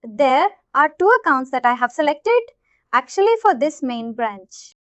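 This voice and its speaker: A woman with a very high voice gives an informal, step-by-step tutorial as a trainer. She explains as she clicks along and often checks in with the listener. The sound is dry and close, with a dull, low-fidelity tone. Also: big pitch swings, a little slow, no room sound. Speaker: software trainer. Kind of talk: informal screen-share tutorial narration. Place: voice-over of a screen recording.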